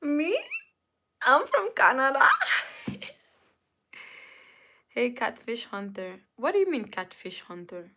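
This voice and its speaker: Slow-talking streamer woman, chatting to viewers. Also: fairly high pitch, sing-song, sharp tone, a little hiss or hum. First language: not English. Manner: talks straight to the chat, repeats phrases over and over. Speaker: livestreamer. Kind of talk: casual live-stream chat.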